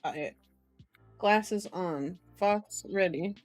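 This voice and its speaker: A woman's voice: fairly low pitch, extremely slow, soft background music. A woman hosting an ASMR stream, reading a book aloud and chatting to viewers in a playful, cutesy way.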